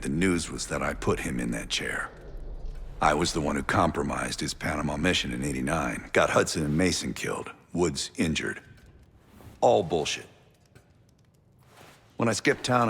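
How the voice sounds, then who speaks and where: An actor playing a weary, guarded intelligence operative in a military game, with a gravelly, husky voice. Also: male voice, a bass voice, animated, normal speed, fairly bright tone, long reverb tail, full character voice. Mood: grim, guarded. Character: weary, guarded male CIA operative on the run. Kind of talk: cinematic military game acting.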